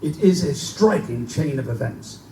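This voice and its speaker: Man playing mellow skeptical professor, echoey room. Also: fairly high pitch, expressive, measured pace, recorded from a distance. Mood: sober reasoning. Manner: formal, reasoning, articulate. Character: measured, skeptical English male professor, rational and scholarly. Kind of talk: live stage reading of gothic horror drama. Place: live performance in a bookstore. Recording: echoey live bookstore recording from afar, faint noise.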